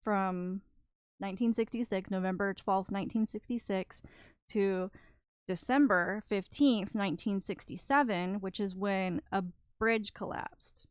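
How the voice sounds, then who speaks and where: A woman's voice: mid-range voice, a little slow, clean tone, no echo. A woman co-hosting a podcast, earnestly recounting stories in a casual chat. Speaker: podcast co-host.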